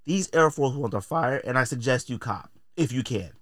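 A man's voice: medium pitch, lively intonation, normal speed, no echo, clean studio sound. A middle-aged American man hosting a podcast, talking casually in blunt, slangy, opinionated style. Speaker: podcast host.

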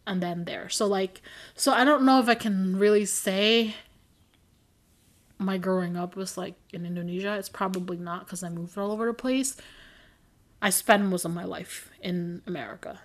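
A woman's voice: mid-range voice, normal speed. A young adult woman co-hosting a podcast, chatting casually, rambling and correcting herself with frequent giggles. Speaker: podcast co-host. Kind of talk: casual chat.

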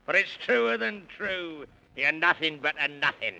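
Sharp, blustering walrus. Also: male voice, on the high side, expressive, measured pace, creaky, exaggerated voice, rough recording. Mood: indignant, scolding. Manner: blustery, pompous, scolding. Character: blustering, indignant male walrus speaking in rhyme. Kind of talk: 1930s children's radio serial acting. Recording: old 1930s radio recording.

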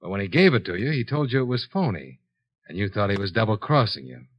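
Cool hard-boiled 1950s radio detective. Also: male voice, lowish voice, very animated. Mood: coolly accusing. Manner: dry, matter-of-fact, deliberate. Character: cool, shrewd male insurance investigator, hard-boiled and unhurried. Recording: dull 1950s radio broadcast recording.

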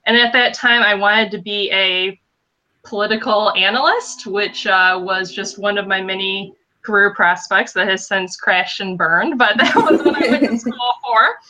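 A woman's voice: medium pitch, measured pace, pushed and bright, poor audio. A woman in her thirties from Western Michigan with a nasal upper Midwestern accent, chatting as a podcast guest and laughing at herself between phrases. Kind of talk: casual interview chat.

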